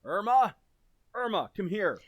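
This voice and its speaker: A loud, blustering father as acted by a performer in a radio comedy. The voice is indignant and booming, recorded without room echo. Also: sing-song, unhurried, muffled recording. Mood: outraged. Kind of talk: radio comedy stage acting.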